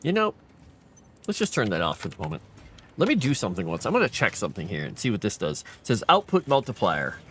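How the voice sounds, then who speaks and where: A man's voice: on the low side, wildly swooping pitch, fast, a bit bright, a little hiss or hum. Tired man rambling through a game stream. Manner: thinking aloud, rambling, chatty with viewers. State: tired, fading late at night. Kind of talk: casual gameplay live stream commentary.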